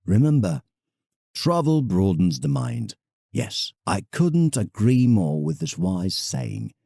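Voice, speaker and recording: A man playing an old painter in an audio drama, reflective and enraptured, reading his letter theatrically with musing pauses. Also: medium pitch, very animated, creaky, warm tone, slightly rough, no room sound, studio quality, exaggerated voice. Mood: fond, reminiscing.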